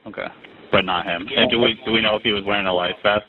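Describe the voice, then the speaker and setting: A man's voice: lowish voice, fairly even intonation, fast talker. A man working as a sheriff's dispatcher, putting procedural questions to a caller over a tinny telephone line. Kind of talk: emergency call handling. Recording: narrow, tinny recorded phone line.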